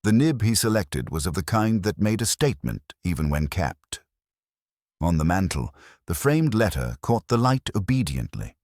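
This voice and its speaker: A man narrates literary fiction in a very deep voice with lively rises and falls. His delivery is formal and wryly measured, with a husky grain. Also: dry recording, clean studio sound. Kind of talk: scripted literary audiobook narration.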